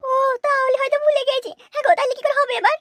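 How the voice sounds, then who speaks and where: A woman's voice: extremely high pitch, fairly even intonation, racing, no room sound. A woman voicing a flirtatious, coy village housewife in a comic cartoon.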